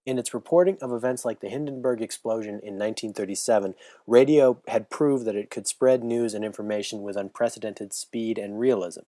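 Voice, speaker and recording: A young man narrates from a script in a low, measured voice, earnest and steady. The sound is close and dry, with no room heard around him. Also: no room sound. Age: young adult. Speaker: history student narrator.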